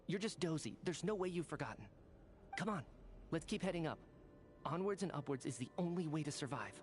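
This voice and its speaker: An actor playing a resolute survivor leader in a video game, stern, philosophical and exhorting. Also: male voice, fairly high pitch, expressive, a little fast, soft background music, lightly acted. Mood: urging, teasing. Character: resolute male survivor leader urging others onward. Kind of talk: dramatic video game voice acting.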